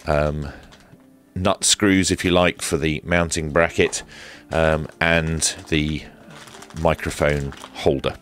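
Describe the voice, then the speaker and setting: A man's voice: rumbling low, flat delivery, slow, a bit bright, slight background noise. A man presenting a hands-on product review in a casual, hesitant way with dry, understated humour. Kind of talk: casual hands-on unboxing commentary.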